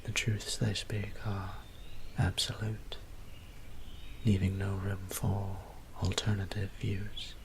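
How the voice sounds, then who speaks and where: A man playing a gentle, weary vampire priest in an intimate audio drama, speaking softly and breathily with formal, old-fashioned, apologetic tenderness. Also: sits low, completely flat delivery, slow pace, noisy background. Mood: solemn, reflective. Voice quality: soft, breathy, whispery. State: tired.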